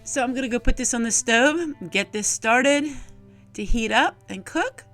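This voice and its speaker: A woman's voice: fairly high pitch, expressive, moderate pace, a bit bright, soft background music. A middle-aged woman narrating a homemaking vlog, explaining chores and cooking in a plain-spoken, folksy way. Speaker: homesteading vlogger and host.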